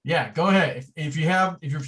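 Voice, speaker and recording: A man's voice: on the high side, a little fast, fairly bright tone. A man who is a branch president, hosting a virtual meeting in a loose, joking way. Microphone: video call.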